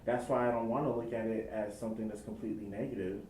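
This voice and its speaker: Young guy, low mellow voice, thinking out loud, sounds far off in an echoey room. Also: steady tone, fast. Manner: reflective, speaking in loose run-on thoughts. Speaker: interviewee. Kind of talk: casual interview answers. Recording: echoey room, mic at a distance, faint rumble.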